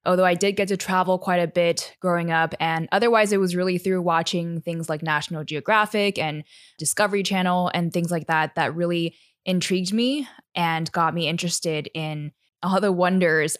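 A woman's voice: on the low side, no room sound, clean studio sound. A young woman from Taiwan, a podcast guest telling her story in a thoughtful, articulate way. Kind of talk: interview conversation.

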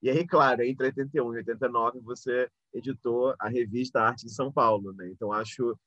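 A man's voice: mid-range voice, steady tone, fairly quick, a bit bright. A man with a Brazilian accent interviewing in a polite, discursive, conversational way, circling around his questions. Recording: roomy, dull lo-fi audio.

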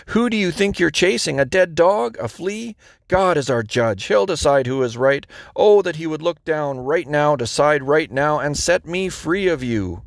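A man's voice: fairly high pitch, vocal fry, dry recording, pitched above the performer's own voice. A guy reading scripture doing a young hero begging the king, heated and earnest, dry sound. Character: young fugitive hero appealing to his king.

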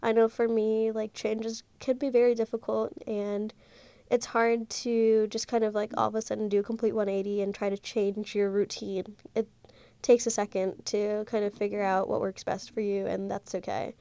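A woman's voice: mid-range voice, flat delivery, moderate pace, gravelly, mellow, no room sound. A young woman in her twenties with a stuffy, congested nose, talking casually and rambling on her solo podcast into a handheld mic, full of "like" and "um". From Ohio, USA. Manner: chatty, rambling, many fillers like "like" and "um". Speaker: podcast host.

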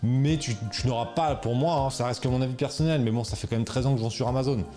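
Male coach, informal and slangy, mid pitch, faint music bed. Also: fast talker, mellow. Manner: informal, slangy, many fillers and restarts. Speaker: e-commerce coach. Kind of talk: casual explainer video.

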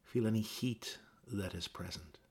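Slow, deep, husky male meditation guide. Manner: gentle, measured instruction with pauses.

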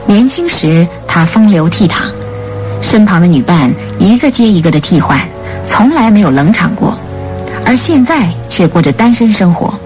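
A woman narrating a scripted radio drama in a measured, formal storytelling manner. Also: medium pitch, sing-song, darkish tone, faint music behind.

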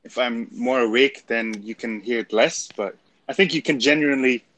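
A man from Germany with a German accent, co-hosting a casual live stream chat, relaxed and agreeable. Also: mid-range voice, normal speed.

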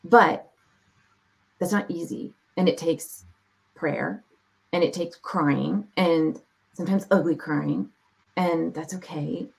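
A woman speaking as a podcast guest, sharing her testimony in a reflective, heartfelt way with measured pauses. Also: fairly low pitch, creaky, low-quality recording. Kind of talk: personal testimony in an interview.

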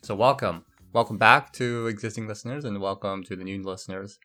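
Low-voiced young man rambling casually. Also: steady tone, a little fast, pushed and bright, soft background music. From Brazil. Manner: casual, loose, with fillers and restarts. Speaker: podcast host. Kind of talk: solo rambling podcast monologue.

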